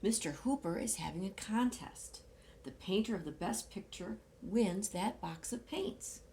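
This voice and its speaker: A woman's voice: mid-range voice, expressive, a little slow, creaky, dark tone, a little hiss or hum. A woman voicing a supportive, upbeat male best buddy in a storybook, with an encouraging, emphatic manner.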